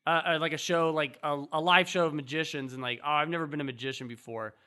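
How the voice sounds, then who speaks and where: A man's voice: on the high side, fairly even intonation, fast, sharp tone, clean tone. A man co-hosting a film podcast in loose, casual conversation. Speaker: podcast co-host.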